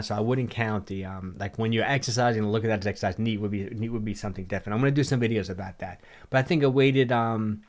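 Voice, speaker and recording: Hoarse middle-aged man with a cold. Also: lowish voice, darkish tone. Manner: rambling, repeats words, restarts sentences. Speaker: livestream host answering viewer questions. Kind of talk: casual livestream Q&A.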